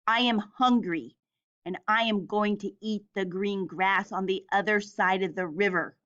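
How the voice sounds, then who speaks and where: A woman's voice: mid-range voice, fairly bright tone, lo-fi sound, close to an everyday voice. A woman voicing a big, bold, fearless male billy goat from a storybook, speaking firmly. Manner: firm, unafraid, deliberate.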